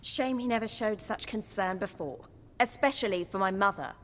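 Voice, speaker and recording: An actress playing a bitter young noblewoman who talks in a cold, sharp, sarcastic way. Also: mid-range voice, moderate pace, lightly acted. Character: bitter young noblewoman, estranged daughter, zealous. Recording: long hall reverb.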